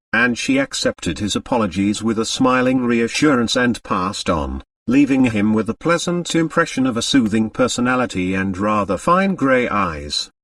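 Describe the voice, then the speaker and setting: A man's voice: on the low side, fairly bright tone, no echo, far from the mic. A man narrating fiction as a scripted audiobook, reading expressively.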